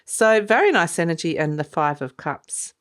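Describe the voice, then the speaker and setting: A woman with a low voice talks through a tarot spread in a relaxed, meandering way. A cold leaves her sounding croaky and a little stuffed up, and she laughs softly between her thoughts. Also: moderate pace. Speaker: tarot reader. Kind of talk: casual spoken tarot reading.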